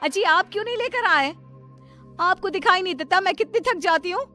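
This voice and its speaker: A hot-tempered, nagging wife from a storybook tale, acted by a woman. She scolds and gives orders in a high voice over light music. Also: lively intonation, brisk, pushed and bright, full character voice. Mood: angry. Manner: scolding, commanding. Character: hot-tempered, domineering elder sister-in-law, a nagging wife. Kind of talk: moral story dialogue.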